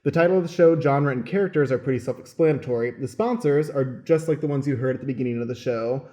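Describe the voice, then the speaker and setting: A man's voice: mid-range voice, fast, mellow. A man hosting a podcast as stage manager, explaining how the show works. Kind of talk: podcast introduction, explaining format.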